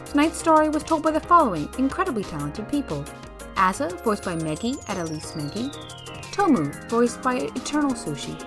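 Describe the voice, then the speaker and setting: A woman's voice: fairly high pitch, big pitch swings, a little fast. A woman announcer reading scripted closing credits for an audio show. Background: music.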